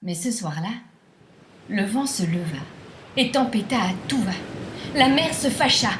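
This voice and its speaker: A woman narrating a scripted children's tale as a storyteller, with theatrical, deliberate phrasing. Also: mid-range voice, lively intonation, background noise. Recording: roomy echo, music behind.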